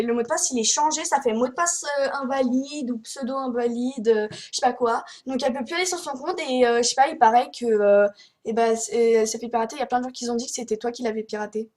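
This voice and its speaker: High-pitched rambling young girl. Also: a little mellow. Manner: rambling, hesitant, repetitive. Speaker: prank caller, video host. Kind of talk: casual chat and improvised prank phone call.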